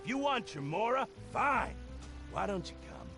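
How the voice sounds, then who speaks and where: A brash, gravelly scoundrel. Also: male voice, high voice, very animated, normal speed, full character voice. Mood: blustering. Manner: bullying, blustering. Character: gruff, brash male debtor, a scoundrel. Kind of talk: video game voice acting. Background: game music.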